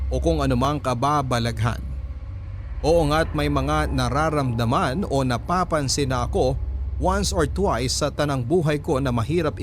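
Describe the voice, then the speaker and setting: A man with a Filipino accent reading a scripted first-person horror story as a radio narrator. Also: medium pitch. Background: music behind the voice.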